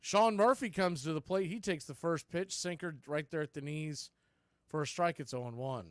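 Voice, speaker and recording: A man's voice: moderate pace, no room sound. Dry-sounding man calling baseball play-by-play. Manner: clipped pitch calls mixed with conversational asides. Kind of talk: live sports play-by-play commentary with scoreboard asides.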